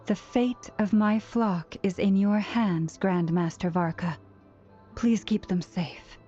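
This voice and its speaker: A woman playing a gentle, grave noblewoman who leads her people in a video game, speaking formally and deliberately. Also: medium pitch, steady tone, close to an everyday voice. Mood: solemn, resolute. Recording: dull game capture with music.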